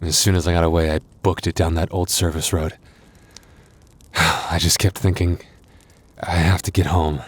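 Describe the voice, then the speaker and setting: A man playing an exhausted, wounded werewolf boyfriend in an ASMR roleplay, tender and reassuring, with halting, sighing, intimate delivery. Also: low voice, level tone, slight background noise, close to an everyday voice. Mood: weary, recounting.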